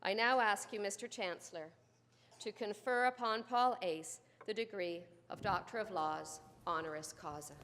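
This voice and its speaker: A woman reads a formal ceremonial citation in a steady, middle-pitched voice. Her delivery is composed and formal. A long reverb from a large hall follows every phrase. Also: moderate pace. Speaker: dean presenting an honorary degree.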